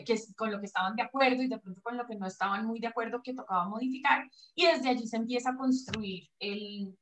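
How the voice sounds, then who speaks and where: A woman's voice: a little high, rough recording. A woman from Colombia being interviewed over a video call, explaining in a hesitant way with many fillers and restarts. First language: Spanish. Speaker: pelvic floor physiotherapist, guest. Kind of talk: online interview.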